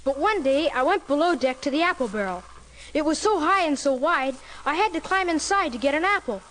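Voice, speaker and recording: A man's voice: very high voice, lively intonation, brisk, low-quality recording. A young male performer playing an earnest, eager cabin boy who narrates and acts in a storybook record with a youthful voice. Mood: earnest narrating. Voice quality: youthful, boyish. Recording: loud music behind the voice.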